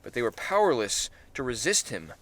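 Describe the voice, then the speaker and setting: A man's voice: medium pitch, very animated, moderate pace, fairly bright tone. A man casually reading his own story. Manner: casual, with profanity and self-corrections.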